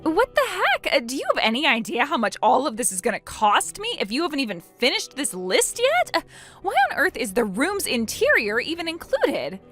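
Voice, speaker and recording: A woman's voice: on the high side, animated, moderate pace, a bit bright, soft background music. A woman voicing an indignant, self-pitying young wife in a melodramatic story, full of incredulous questions and outraged exclamations. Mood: shocked protest. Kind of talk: acted dialogue in a melodramatic story.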